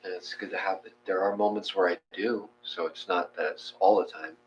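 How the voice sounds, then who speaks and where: A man co-hosting a meditation class, talking casually with halting, friendly restarts and fillers. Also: low voice, steady tone. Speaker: meditation co-host. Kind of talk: guided meditation and casual chat. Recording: dull lo-fi sound with faint hum.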